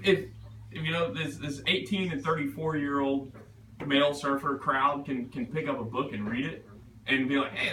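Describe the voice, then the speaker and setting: Male author, bright voice, halting and self-deprecating, informal Q&A, roomy distant pickup. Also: mid-range voice. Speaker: author at a reading. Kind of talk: casual question-and-answer chat. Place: bookstore event space. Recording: roomy, echoey, picked up from afar.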